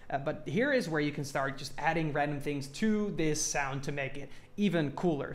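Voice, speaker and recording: A man talking casually and informally as he explains a music production tutorial step by step. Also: a little high. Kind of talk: casual hands-on tutorial talk.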